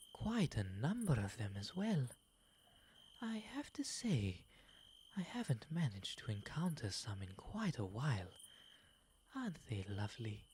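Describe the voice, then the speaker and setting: A woman performs as a courtly male owl demon in a soothing ASMR roleplay. The voice is dark, mellow and breathy, often sinking into a whisper. The phrasing is formal and gentle, rising and falling expressively over faint night ambience. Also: a bass voice, slight background noise, full character voice. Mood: softly delighted. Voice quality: soft, breathy, mostly whispered. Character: gentle, refined, wistful male owl demon, polite and soft-spoken.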